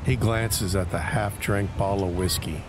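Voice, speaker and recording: A man narrating an audio drama in a deliberate, brooding way, pausing between short phrases for dramatic weight. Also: low voice, steady tone, noisy background.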